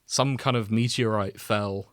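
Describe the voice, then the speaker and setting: A man's voice: fairly low pitch, fairly even intonation, measured pace, fairly bright tone, clean tone. A British man explaining things in a casual, wry, informal podcast chat, sometimes sweary. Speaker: podcast host explaining a video game.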